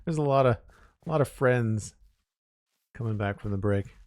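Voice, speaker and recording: A man streaming a building game, talking casually in a raspy, slightly hoarse voice as he thinks aloud in an unhurried, rambling way. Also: medium pitch, no echo, clean studio sound. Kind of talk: casual live-stream chat while building.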